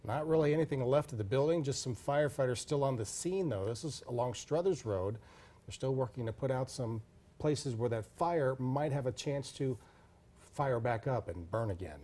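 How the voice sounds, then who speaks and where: A man's voice: medium pitch, normal speed, warm tone. An American man anchoring a television newscast, reading scripted news.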